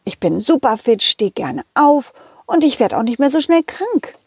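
A woman's voice: high-pitched, very animated, moderate pace, a little mellow, breathy edge, dry recording, clean studio sound, heavily acted. A woman voicing a confident, loyal male dog in a children's story, encouraging, eager and friendly. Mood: eager, thoughtful.

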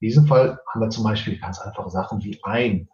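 A man's voice: on the low side, brisk, a little mellow. Portuguese-accented man, roomy dull recording. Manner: explanatory, tags sentences with "ja". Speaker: professional translator. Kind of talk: interview answers. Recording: roomy, slightly dull sound.

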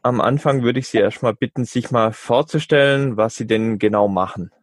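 A man hosting an interview podcast, asking friendly, measured questions. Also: medium pitch, no echo, clean studio sound.

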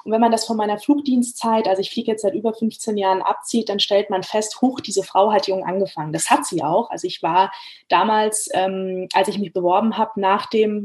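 A woman in her thirties from Germany, an airline pilot telling her story in an interview, talking lively with many fillers. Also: medium pitch. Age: 30s.

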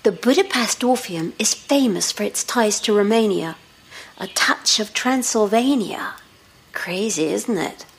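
An actress playing a curious, self-assured young daughter in an audio drama, light, breezy and teasing. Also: female voice, medium pitch, lively intonation, a little slow, slightly rough. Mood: playful, teasing. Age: young adult.

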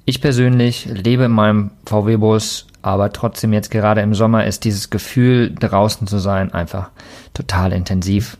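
A man's voice: fairly low pitch, fairly even intonation, moderate pace, a little mellow. A man hosting a podcast, upbeat and enthusiastic, using casual slang. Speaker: podcast host.

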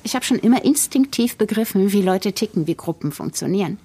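A woman's voice: mid-range voice, expressive, brisk, warm tone, breathy edge. A middle-aged actress playing a cold, snobbish, scheming female politician in an audio drama, with a condescending, matter-of-fact delivery. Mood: contemptuous.

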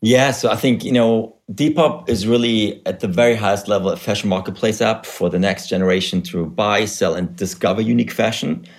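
Podcast guest, man in his thirties, German accent, low voice, measured explainer. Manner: measured, explanatory, uses you know. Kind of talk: podcast interview.

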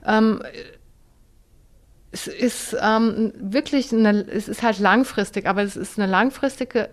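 A woman's voice: mid-range voice, slow pace. A woman chatting casually about running, with many hesitations and restarts. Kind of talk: casual chat.

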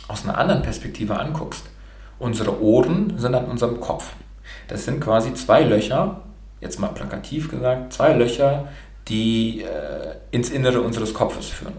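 A Romanian-born man raised bilingual in Germany talks with a rolled R, frank and loose, dropping curse words and fillers. His voice sits at an ordinary pitch for a man. The recording carries a noticeable room echo. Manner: frank, with casual swearing and many fillers. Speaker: podcast host being interviewed. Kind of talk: casual motivational talk.

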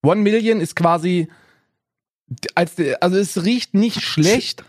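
A man's voice: high-pitched, slow pace, no echo. Rambling young man, dry close sound. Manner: rambling, tags lines with "ne?" and "Bruder". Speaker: podcast co-host. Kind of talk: casual chat.

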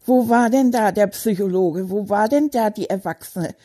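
Brief: woman plays a male stuffed toy rat for a kids' audio story, husky and mellow, high-ish and gossipy. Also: moderate pace, lightly acted. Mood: chatty. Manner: excitable, gossipy, childlike storytelling. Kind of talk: children's audio story monologue.

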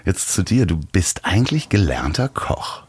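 A man's voice: low voice, very animated, moderate pace, slightly rough, no echo. A man hosting a podcast, asking questions in a friendly way and laughing often. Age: younger than guest. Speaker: podcast host. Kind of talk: podcast interview.

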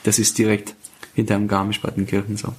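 Man, twenties, from Afghanistan, stand-up comedian, interview guest, halting speech full of fillers. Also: lowish voice, monotone. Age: 20s. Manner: hesitant, many fillers and restarts. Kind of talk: casual interview chat.

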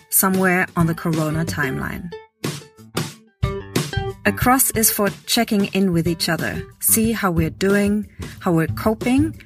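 Woman, 40s, chatty podcast host, medium pitch, informal and wandering delivery. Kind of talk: casual conversational podcast.

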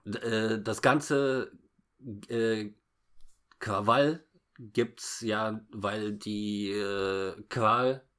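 Male co-host, slightly low voice, unscripted chat, stumbles and restarts often. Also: fairly even intonation, a bit bright. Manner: halting, stammering, frequent false starts. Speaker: podcast co-host recapping a film. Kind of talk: casual unscripted plot recap.

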